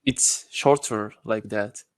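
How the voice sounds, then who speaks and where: A man's voice: fairly low pitch, steady tone, slow pace, smooth, no echo. A man from Morocco with a Moroccan accent, chatting casually in English as a learner, a little hesitant as he searches for words. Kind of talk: casual chat.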